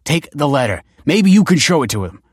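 A man voicing a desperate woman in her late thirties who stammers and begs, her voice pushed very high. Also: sing-song, a little fast, breathy edge, no room sound, studio quality. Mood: pleading. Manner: stammering, begging.

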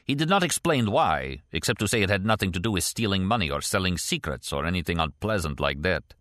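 An older Russian defector acted by a male audiobook reader speaks in a low voice with a Russian accent. He is proud and shrewd, placing formal words deliberately. The sound is dry and close. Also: lively intonation, fairly bright tone, no echo. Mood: matter-of-fact. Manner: deliberate, formal, precise wording.

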